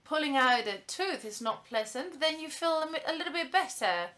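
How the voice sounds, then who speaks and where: A woman giving a live simultaneous interpretation of a conference welcome speech, halting often with fillers and restarts. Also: sits high, animated, fairly bright tone.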